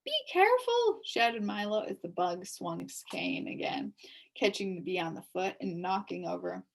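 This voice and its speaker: A young woman reading a children's novel aloud in an expressive way. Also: on the low side, vocal fry. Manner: unhurried, expressive. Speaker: reader.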